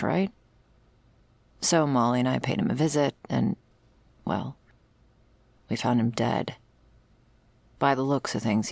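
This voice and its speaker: A woman playing a weary, sardonic investigator of vampire lore in an intimate ASMR roleplay, speaking drily and sometimes hushed. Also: dry recording, exaggerated voice. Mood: wry. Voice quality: husky, creaky low voice. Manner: dry, sardonic, swearing, hushed in places.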